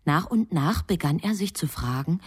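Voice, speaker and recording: A woman narrating a literary radio drama from a script in a composed, measured storytelling manner. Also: fairly low pitch, lively intonation, slightly rough, no room sound, studio quality.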